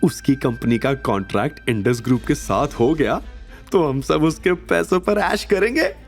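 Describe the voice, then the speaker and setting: A man's voice: fairly high pitch, animated, normal speed, creaky, soft background music, voice pushed up. A man voicing a scornful, money-minded middle-aged mother in an audio drama. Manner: dismissive, scolding.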